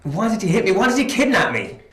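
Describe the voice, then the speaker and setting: A man acting a frightened young captive in a drama, stammering and pleading, bursting into desperate shouts. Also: medium pitch, lively intonation, brisk, close to an everyday voice. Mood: accusing, upset. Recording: echoey room with background noise.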